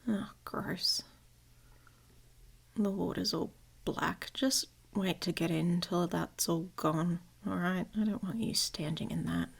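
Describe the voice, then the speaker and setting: A gruff she-wolf shifter acted by a woman in an intimate ASMR romance roleplay. Her voice is low and husky with a gravelly creak, often sinking toward a whisper. She talks slowly and bluntly, with a sarcastic, grumpy edge over a protective, affectionate streak. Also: exaggerated voice. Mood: sheepish, matter-of-fact.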